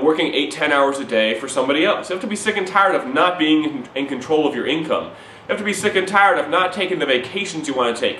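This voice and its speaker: A man giving an urgent, repetitive, preachy sales pitch straight to the camera. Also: a bit bright, roomy sound, a little hiss or hum, low-quality recording. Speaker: recruiting pitch to viewers.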